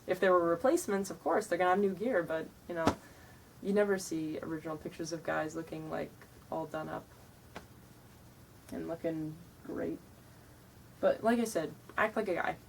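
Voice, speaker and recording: Blunt, tired young woman with a deeper voice. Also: vocal fry, far from the mic, slight background noise, rough recording. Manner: frank, blunt, chatty with many ums and likes. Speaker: vlogger giving reenactor tips. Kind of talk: casual advice talk to camera.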